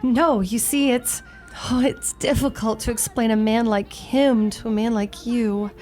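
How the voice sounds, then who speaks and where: Woman acting gushy dreamy shop girl w a really high voice, old radio play style, giggly but blunt. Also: fairly even intonation, measured pace, a little mellow, soft background music, natural voice. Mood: smitten, dreamy. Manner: gushing, theatrical, sighs and giggles. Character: lovestruck, vivacious female shop clerk, dreamy yet blunt.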